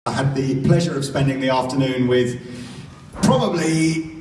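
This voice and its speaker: A man introducing a guest on stage, building up to a shouted welcome. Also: mid-range voice, moderate pace, a little hiss or hum. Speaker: event host. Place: venue stage. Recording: echoey venue PA from far off. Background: loud music and crowd.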